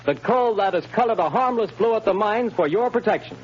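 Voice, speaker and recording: Male announcer for a 1930s sponsor spot, high voice, formal, dull old broadcast. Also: animated, brisk. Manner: formal, emphatic delivery. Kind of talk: 1930s radio advertisement. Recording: dull old radio broadcast over music.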